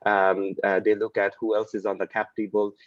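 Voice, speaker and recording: A man's voice: fairly low pitch, level tone, normal speed, dry recording, highs cut off. A man speaking as a company executive on a webinar panel, stumbling and repeating words as he goes. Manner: repetitive, stumbling restarts.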